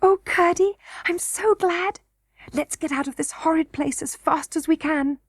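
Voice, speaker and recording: Woman putting on an eager, high-voiced little princess girl. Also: measured pace, no room sound, studio quality. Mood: joyful. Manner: earnest, breathless, joyful. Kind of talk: children's storybook reading.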